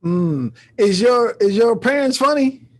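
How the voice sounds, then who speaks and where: High-voiced man hosting casually. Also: animated, measured pace. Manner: laid-back, often tags sentences with "man". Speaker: podcast host. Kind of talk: casual chat interview.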